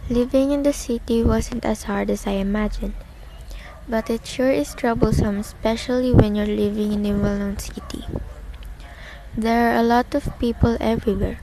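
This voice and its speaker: A woman's voice: on the high side, mellow. A young woman reading scripted first-person story narration in an amateur radio play. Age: young adult. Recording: clearly noisy amateur recording.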